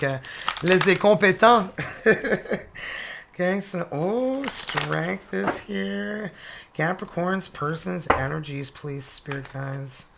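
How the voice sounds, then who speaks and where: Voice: medium pitch, gravelly, background noise. A tarot reader from northern Ontario with a Franco-Ontarian accent, a native French speaker, chatting casually with laughing, cheeky asides while reading cards. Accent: Franco-Ontarian French-Canadian. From northern Ontario, Canada.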